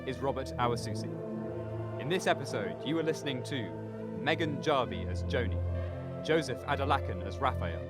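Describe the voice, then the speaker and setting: A man's voice: mid-range voice, animated, fairly quick. A man reading scripted end credits as a podcast announcer. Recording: loud music bed behind voice.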